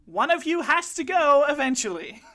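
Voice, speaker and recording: A woman's voice: high-pitched, sing-song, normal speed, a bit bright, voice pushed up. A woman playing a pompous, self-styled lord who hosts the show with a grandiose showman's delivery. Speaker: host.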